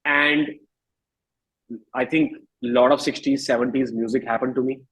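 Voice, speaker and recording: A man in his thirties with an Indian accent, speaking candidly and reflectively as an interview guest, often halting and rephrasing his thoughts. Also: medium pitch, steady tone, fairly bright tone, slightly rough, low-quality recording. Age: 30s.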